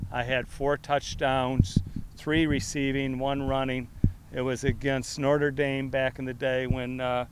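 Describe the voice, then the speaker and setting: Middle-aged coach guy, loud voice, rambly with lots of uhs, bit of background hiss. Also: medium pitch, rough recording. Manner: loose, rambling, frequent fillers and restarts. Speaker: head football coach. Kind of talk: press conference answers.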